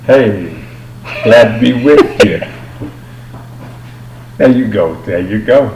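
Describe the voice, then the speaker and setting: A man's voice: fairly low pitch, expressive, slow pace. A man who is a pastor, speaking earnestly in a preacherly way as an interview guest, with some stumbles. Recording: roomy, distant mic with faint hum.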